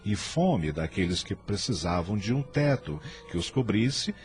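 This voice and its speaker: Animated, low-voiced male radio-drama narrator. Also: measured pace. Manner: solemn, literary storytelling. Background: soft music.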